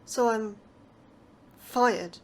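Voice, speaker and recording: An actress playing an earnest, anxious astrobiology lecturer with a proud streak, in an audio drama. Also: female voice, a little high, drawn-out words. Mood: indignant, hurt. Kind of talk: scripted audio drama dialogue.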